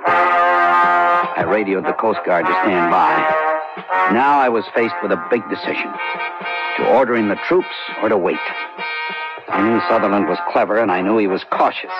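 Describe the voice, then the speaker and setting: An actor playing a determined small-town sheriff who narrates his own case in steady, terse first person. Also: lowish voice, normal speed, pauses often, loud music behind the voice. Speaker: narrator and lead character. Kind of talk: 1970s radio drama acting. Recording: dull lo-fi old radio broadcast.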